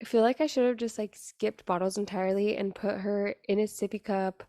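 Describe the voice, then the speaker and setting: A young woman hosting her podcast, talking in a raspy voice with an earnest, chatty manner full of fillers. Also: mid-range voice, steady tone, normal speed, mellow, dry recording, studio quality. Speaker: podcast host. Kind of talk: casual solo podcast monologue.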